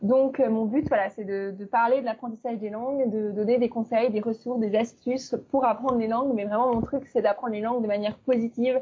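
A woman's voice: on the high side, steady tone, brisk, hardly any pauses, husky, muffled recording. A woman from eastern France, a language teacher, chatting as an interview guest with many fillers and restarts. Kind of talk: casual interview talk.

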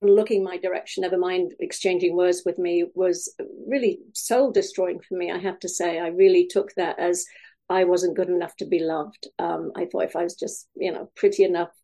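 A middle-aged woman from Wales, a podcast guest telling her story with many pauses, fillers and small laughs. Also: lowish voice, steady tone, dark tone. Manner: hesitant, many fillers and restarts, laughs often. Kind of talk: podcast interview.

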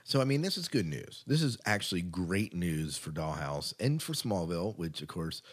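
Very low-voiced man, casual podcast host. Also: big pitch swings, normal speed, slightly rough. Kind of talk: casual chat about TV show news.

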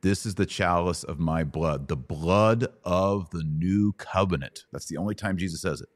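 A middle-aged man with a low-pitched voice hosts a live Bible Q&A podcast. He teaches in a conversational, didactic way and puts questions to his listeners as he explains. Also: normal speed. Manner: didactic, asks checking questions.

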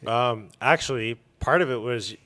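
A man of average pitch talks at length as an interview guest. He explains things in a conversational, emphatic way. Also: moderate pace, bright, forceful tone. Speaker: interview guest, comic shop owner.

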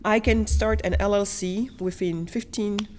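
A woman's voice: medium pitch, steady tone, unhurried, darkish tone, slight background noise. A woman from Senegal who later lived in Europe, speaking emphatically as an interview guest and telling personal stories, repeating words for stress. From Senegal, later Germany and France.

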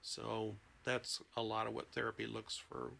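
A man who works as a therapist, talking conversationally as a podcast guest and telling anecdotes in an expressive, emphatic way. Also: lowish voice, a little slow, vocal fry, slight background noise. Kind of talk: conversational explanation with anecdotes and acted-out quotes.

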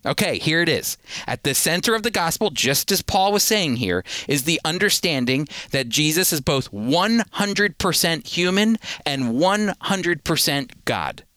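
An earnest man teaching the Bible on the radio, his voice a bit high for a man and swinging widely up and down as he stresses points. He often stumbles over repeated words as he starts a sentence. The sound is dry and close, with no room around it. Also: expressive, measured pace, sharp tone, dry recording.

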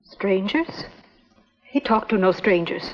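A woman's voice: medium pitch, animated, slow. An actress playing a fiery, guarded young village woman in a vintage drama, on a dull, echoing recording. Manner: guarded, emphatic. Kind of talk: old-time radio drama acting.

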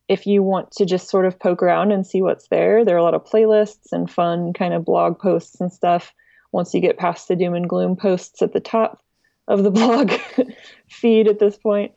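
Female interview guest. Soft mellow tone, informal and wry, dry but slightly dull lo-fi sound. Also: mid-range voice, vocal fry, no room sound. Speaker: interview guest, director of an online music archive. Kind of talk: casual spoken interview.

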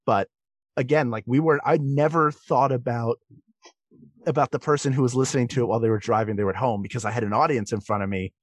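A man's voice: mid-range voice, fairly even intonation, quick pace, slightly rough, no echo. Man explaining thoughtfully, close dry sound. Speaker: podcast guest, comedic stage and voice performer. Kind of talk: conversational interview answers.

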